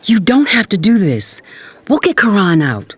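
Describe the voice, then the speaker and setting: An actress playing a worried, pleading mother of a grown son, with a husky voice, in a dramatic audiobook. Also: mid-range voice, sing-song, a little slow, dry recording.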